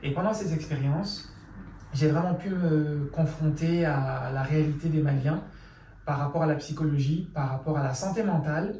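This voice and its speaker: A middle-aged man from Mali, a psychologist answering interview questions in calm, measured explanations. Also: a little high, slight background noise. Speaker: psychologist guest. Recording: echoey room, from far off.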